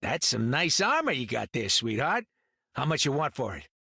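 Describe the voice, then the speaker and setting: A gruff little raccoon hustler in a video game. The voice is gravelly and sharp, with cheeky, streetwise delivery that jumps around in pitch. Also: male voice, sing-song, moderate pace, no room sound, studio quality, heavily acted. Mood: wheedling. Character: wisecracking, greedy, gruff small male raccoon creature. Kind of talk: video game character dialogue.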